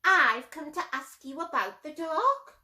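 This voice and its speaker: A small, timid owlet from a children's storybook, voiced by a woman. The voice is high and childlike, with a plaintive, emphatic way of talking. Each phrase comes out slowly. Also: sing-song, pushed and bright, exaggerated voice. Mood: polite, curious. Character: timid young boy owlet afraid of the dark.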